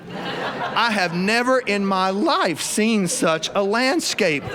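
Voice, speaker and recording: A man's voice: high voice, expressive, takes it slowly, a crowd in the background, low-quality recording. A man from South Carolina with a Southern American accent telling a comic story live in a wry, exaggerated way. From South Carolina, USA. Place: performance studio before a live audience.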